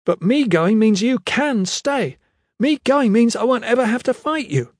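A man's voice: high-pitched, sing-song, moderate pace, slightly rough, no echo, studio quality, voice pushed up, heavily acted. A man voicing an anxious, earnest young military trainee in an audiobook story, urgent and questioning. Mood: distraught.

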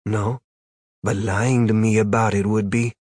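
A man voicing a cool, probing female demon in an audiobook story, with a deep husky voice, a foreign accent and matter-of-fact questioning. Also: little pitch movement, a little slow, darkish tone, dry recording, studio quality. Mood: clinical probing.

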